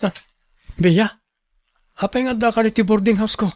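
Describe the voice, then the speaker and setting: Man acting an earnest young boyfriend, lightly high voice. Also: a little slow, a little mellow. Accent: Filipino. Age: young adult. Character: earnest young male student, apologetic, responsible boyfriend. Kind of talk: radio drama acting.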